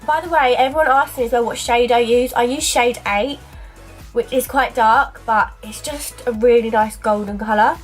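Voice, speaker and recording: A young woman with a snuffly cold chats her way through a makeup routine, filling pauses with ums and little asides. She is speaking to a camera close in front of her in a small dressing room, with faint music underneath. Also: on the high side. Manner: chatty, filler-heavy, talks while applying makeup. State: stuffy from a cold. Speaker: beauty vlogger. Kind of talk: casual makeup tutorial. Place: small bedroom dressing area indoors. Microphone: camera in front at arm's length.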